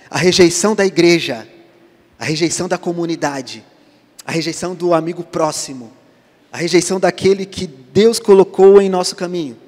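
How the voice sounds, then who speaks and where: A male pastor with a Brazilian Portuguese accent preaching a sermon, dropping to hushed lines and then rising to loud emphasis as he acts out the dialogue. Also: a little high. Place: church sanctuary. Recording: church hall reverb.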